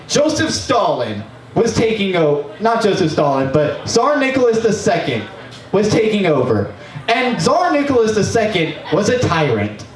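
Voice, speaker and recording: A young man on a small bar stage tears through a comic history rant with a high, swooping voice and profane outbursts. He stands at a mic stand, picked up by a camera across a roomy venue. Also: recorded from a distance. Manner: profane, animated, breaks into shouts. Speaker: open mic performer. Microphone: stand mic, distant camera.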